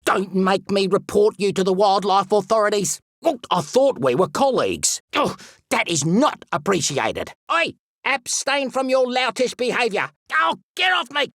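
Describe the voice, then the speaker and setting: An excitable, fussy wildlife expert from a video game, with a pitch that leaps and swoops through every phrase. He is pompous and theatrical, fond of whimsical made-up words, and recorded very dry and close. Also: moderate pace, no room sound. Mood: indignant, flustered. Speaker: voice actor. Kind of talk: animated video game character voice lines.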